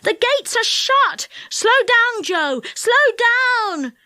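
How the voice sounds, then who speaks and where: An excitable little storybook boy, acted by a woman, urgent and shrill. The voice is pitched very high and swoops as he pleads and calls out. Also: drawn-out words, no room sound, exaggerated voice. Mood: alarmed. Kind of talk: children's storybook character reading.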